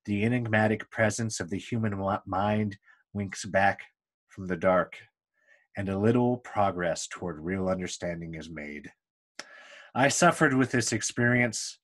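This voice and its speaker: A man with a fairly low voice reads from a book. He delivers the text deliberately, phrase by phrase, leaving pauses as he goes. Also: a bit bright, breathy edge. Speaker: book reader. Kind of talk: reading a book aloud.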